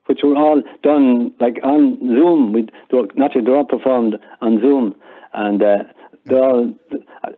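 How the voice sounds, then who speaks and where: A man's voice: mid-range voice, fairly even intonation, a little slow. Older Irish man, muffled lo-fi sound. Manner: hesitant, repeats words while explaining. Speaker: interview guest, member of amateur audio theatre group. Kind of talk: casual interview chat.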